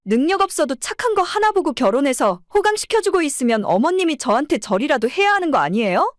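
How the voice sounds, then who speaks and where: A woman's voice: high voice, big pitch swings, quick pace, dry recording, studio quality, voice pushed up. A woman voicing a furious, defiant young wife, indignant and sarcastic, in a dramatized story. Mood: indignant fury.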